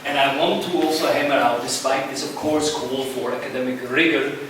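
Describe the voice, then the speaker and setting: A man with a Swiss accent chairs a conference panel, speaking English as a second language in halting phrases full of "uh." His voice sits at an ordinary pitch and reaches the mic from a distance in a roomy, echoing hall with steady background noise. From Switzerland. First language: not English. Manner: halting, many "uh" fillers, warm and earnest. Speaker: journal editor chairing a session. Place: conference room.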